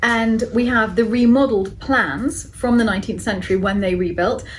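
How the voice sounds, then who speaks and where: A woman, hosting a relaxed group Q&A and explaining things at length. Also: fairly high pitch, moderate pace, a little hiss or hum. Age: 40s. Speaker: chateau owner and host. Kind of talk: casual group Q&A chat.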